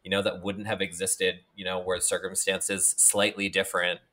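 This is a man co-hosting a podcast, casual and playful, leaning on fillers as he thinks aloud. His voice is bright and forward in tone. It sits a little low in pitch. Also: level tone, moderate pace, slight background noise. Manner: chatty, filler-heavy, playful. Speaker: podcast co-host. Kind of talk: casual chat.

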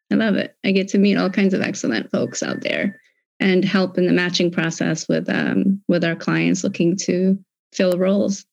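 Brief: woman recruiter, gravelly voice, upbeat and friendly, dull dry lo-fi audio. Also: medium pitch, no room sound. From born in Ethiopia, raised in the US. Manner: upbeat, friendly, some ums. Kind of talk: casual interview talk.

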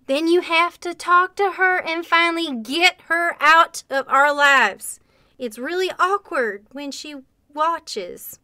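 A dramatic, bossy country girlfriend acted by a woman. Her voice is extremely high and piercing, full of scolding exasperation. The radio comedy recording is dry and close. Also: slow, sharp tone, dry recording, full character voice. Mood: demanding. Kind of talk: comedic radio play acting.

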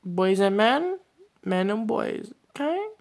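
A man's voice: sits high, very animated, slow pace, gravelly. A sixteen-year-old boy hosting his own podcast, talking alone in a loose, rambling way full of "like, you know.". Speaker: podcast host. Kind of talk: casual solo podcast monologue.

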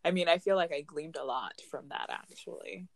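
A young woman from Ghana hosting a casual podcast discussion in a relaxed, chatty way. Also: deep voice, a little fast, creaky, no echo. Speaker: podcast host.

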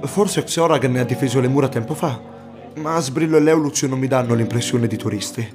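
A man's voice: mid-range voice, brisk, over music, lightly acted. A man playing a sociable, wry adventurer in a fantasy audio drama, talking conversationally.

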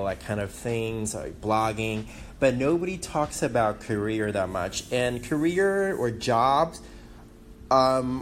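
Slow, hesitant man with a Taiwanese accent. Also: medium pitch. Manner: hesitant, many ums, self-conscious. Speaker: podcast host. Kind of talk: casual solo podcast talk. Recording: faint background noise.

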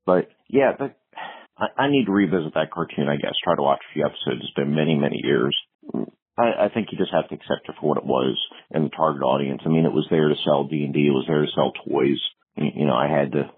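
Male caller, extremely deep and slightly husky, informal and rambling, over a narrow phone-like connection. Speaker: podcast call-in listener. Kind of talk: casual voicemail message. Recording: muffled, narrow phone-like line.

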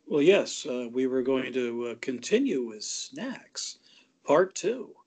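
Easygoing guy, measured talk, laughs a lot, mid voice. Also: medium pitch, sing-song, darkish tone, husky. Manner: measured, laughs easily, many uh fillers. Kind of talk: casual chat.

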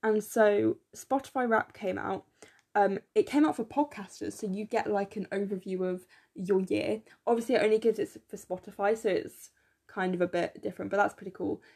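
A young woman co-hosting a podcast in casual chat, enthusiastic and full of fillers, her voice slightly croaky, recorded on a phone in her room. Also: medium pitch, brisk, a little mellow. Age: young adult. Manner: chatty, enthusiastic, many fillers. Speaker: podcast co-host.

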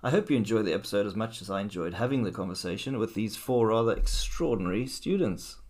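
Man, podcast host, measured interviewer, noisy background. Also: on the low side, lively intonation, a little mellow. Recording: echoey room, distant mic, noisy.

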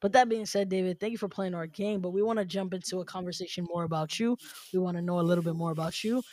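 A man's voice: high voice, steady tone, quick pace, a little mellow, a little hiss or hum. A man hosting a podcast, upbeat and chatty, often repeating and restating his own phrases. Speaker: podcast host. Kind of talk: casual interview chat.

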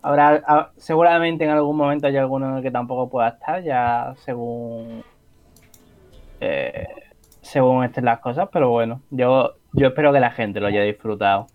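A man's voice: medium pitch, fairly even intonation, phone-quality sound. A Spanish man, the show's chat moderator, speaking hesitantly on his first live program. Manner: hesitant, halting. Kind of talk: casual podcast chat.

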